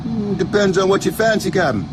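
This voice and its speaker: Lively, easygoing Caribbean sidekick. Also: male voice, high voice, brisk, heavy background noise. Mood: friendly, easygoing. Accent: Jamaican or Caribbean. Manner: deferential, easygoing, speaks in patois. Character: loyal, easygoing Caribbean islander, right-hand man. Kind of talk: radio drama acting. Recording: music behind the voice.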